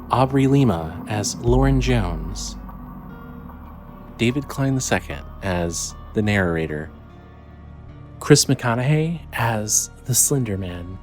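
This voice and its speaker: Low-voiced man reading credits over music. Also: a little mellow. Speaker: podcast credits announcer. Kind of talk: scripted credits read.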